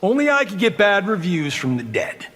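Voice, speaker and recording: Cocky high-pitched wisecracker. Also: male voice, big pitch swings, moderate pace, heavy background noise. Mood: flippant. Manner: flippant, sarcastic, theatrical. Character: cocky, wisecracking, vain movie-star fighter. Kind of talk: fighting game character banter.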